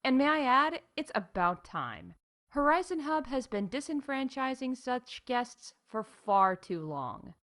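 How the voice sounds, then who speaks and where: Animated theme park announcer. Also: female voice, high voice, a little slow, full character voice. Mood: earnest, deadpan. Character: upbeat female theme park announcer in space. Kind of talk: scripted comedic announcements.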